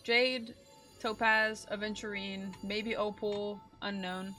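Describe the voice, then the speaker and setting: A woman streamer with a bright, forceful voice comments casually on a game she is playing. She is excitable and slangy and swears freely. Faint music plays behind her. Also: medium pitch, slow pace.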